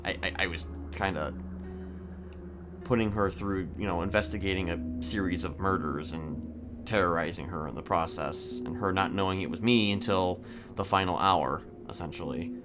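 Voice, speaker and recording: A man's voice: low voice, music in the background, lightly acted. A man playing a hesitant, stammering serial killer who politely and evasively confesses his crimes in an improvised roleplay game. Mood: quietly menacing. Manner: halting, stammering, evasive, politely matter-of-fact.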